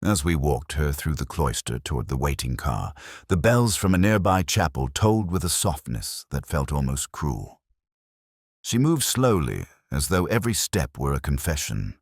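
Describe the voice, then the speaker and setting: A very deep, dry-sounding older male detective, voiced by a man, weary and melancholy. He narrates a literary radio mystery in a sombre, measured way. The pitch moves expressively within that low register. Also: sing-song, husky, dry recording, full character voice. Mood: sombre, reflective.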